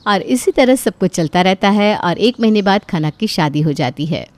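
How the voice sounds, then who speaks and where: A woman's voice: medium pitch, expressive, moderate pace, a little mellow, no echo. Woman narrating a cartoon story, dry sound.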